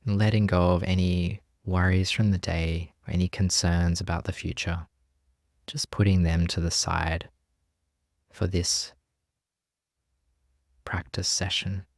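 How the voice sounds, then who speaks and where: Slow, low-voiced man guiding meditation. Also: level tone, creaky, dry recording, studio quality. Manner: gentle, unhurried with long pauses. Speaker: meditation teacher. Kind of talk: guided meditation.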